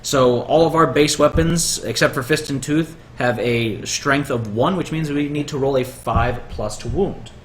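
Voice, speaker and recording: Young man calmly explaining game rules. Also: mid-range voice, unhurried, hardly any pauses. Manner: patient, walks through rules step by step. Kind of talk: casual tabletop game stream. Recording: echoey room with faint noise.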